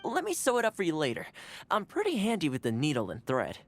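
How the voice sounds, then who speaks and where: An actor playing a gentle, courteous young man in a video game, speaking in a measured, encouraging way. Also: on the high side, huge pitch swings, full character voice. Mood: warm, attentive. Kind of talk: scripted game character dialogue.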